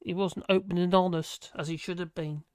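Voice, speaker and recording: Hoarse streamer chatting live, meandering and self-deprecating, mid-pitched, dry close sound. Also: fairly even intonation, fairly quick, no echo. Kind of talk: casual live-stream chat.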